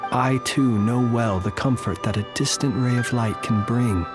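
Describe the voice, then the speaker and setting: Solemn guard officer for a game, male actor: medium pitch, formal, reassuring, unhurried dignity. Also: music in the background, lightly acted. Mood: composed. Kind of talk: video game voice acting.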